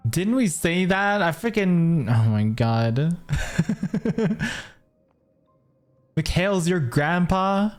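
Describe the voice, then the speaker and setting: A woman's voice: fairly low pitch, very animated, extremely slow, darkish tone, soft background music. A woman streamer giving casual, blunt, sweary live reactions while playing a game.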